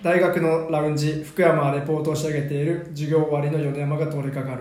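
A man's voice: a little high, flat delivery, quick pace, darkish tone. A young man co-hosting a podcast with casual banter and improvised skits in an echoey room. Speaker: podcast host and member of a comedy talk group. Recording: roomy, echoey indoor room.

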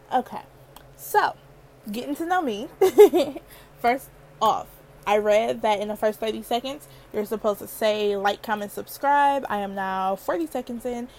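A woman's voice: mid-range voice, a little mellow, a little hiss or hum. A young woman in her twenties hosting a casual solo podcast, chatty and playful, laughing often, with a girlish voice. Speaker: podcast host.

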